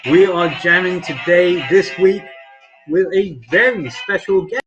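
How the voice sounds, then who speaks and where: A man's voice: high voice, a little slow, fairly bright tone, highs cut off, faint music behind. A man with a British accent hosting a live-streamed interview, upbeat and talking straight to the viewers. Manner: upbeat, addresses viewers directly. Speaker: host of a mortgage adviser group live stream.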